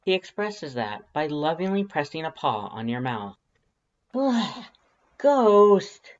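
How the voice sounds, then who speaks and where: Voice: medium pitch, big pitch swings, moderate pace. Wry story narrator, slightly muffled recording. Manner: conversational, wry. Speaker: audiobook narrator of fan fiction. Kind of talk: expressive scripted story narration.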